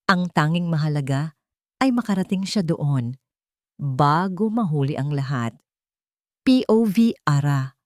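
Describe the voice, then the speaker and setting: A woman's voice: low-pitched, darkish tone, dry recording, clean studio sound. A woman narrator reading a scripted romance story in a dramatic style.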